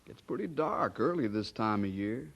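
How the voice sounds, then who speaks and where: An actor playing a friendly, plain-spoken church member in a radio drama, with a folksy, reflective manner. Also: male voice, fairly low pitch, expressive, moderate pace, creaky, a bit bright, muffled recording, exaggerated voice. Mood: sympathetic, curious.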